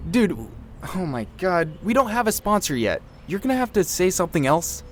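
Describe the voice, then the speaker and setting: A man's voice: sits high, very animated, moderate pace, loud music behind the voice. A man playing a slangy, joking young sound man of a ghost show in an audio drama, casual and teasing. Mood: amused, doubtful. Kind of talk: horror audio drama acting.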